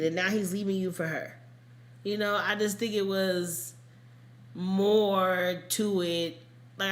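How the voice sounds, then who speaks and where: A woman co-hosting a podcast, chatting casually about true crime in a blunt, colloquial way with plenty of swearing. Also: medium pitch. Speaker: podcast co-host. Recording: echoey room.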